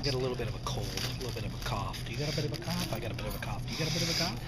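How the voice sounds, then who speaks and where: A man's voice: mid-range voice, rapid-fire. A man in his thirties filming a casual vlog at arm's length, rambling along with lots of fillers, first in a grocery store and then in his car at night. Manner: rambling, filler-heavy, laid-back. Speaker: vlogger. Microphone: handheld camera at arm's length. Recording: loud background noise.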